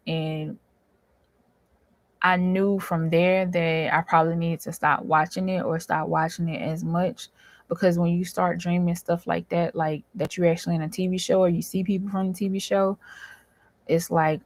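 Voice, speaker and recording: Woman, lowish voice, dry close sound, casual vlog chat, informal colloquial storytelling. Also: no room sound. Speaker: host, dream interpreter.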